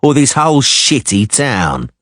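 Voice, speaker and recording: A man voicing a cocky young male swordsman in an audiobook, who goads and taunts with shouted, jeering lines. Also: mid-range voice, big pitch swings, a little slow, no echo, clean studio sound, voice pushed up. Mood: taunting.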